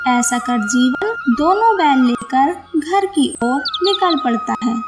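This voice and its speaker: A woman's voice: high voice, lively intonation, slow pace, over music. A woman narrating a scripted moral story for children.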